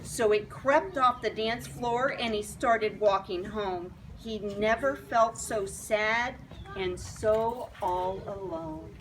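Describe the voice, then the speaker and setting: A woman's voice: mid-range voice, slow, poor audio. A woman reading a storybook aloud to young children, expressively and in simple phrasing, with asides. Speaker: storybook reader. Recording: clear background noise.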